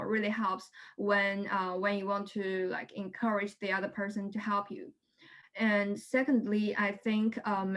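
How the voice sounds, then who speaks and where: A woman's voice: mid-range voice, fairly bright tone, low-quality recording. A woman in her twenties from China answers interview questions plainly and directly. Her speech is full of ums and small restarts. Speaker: guest.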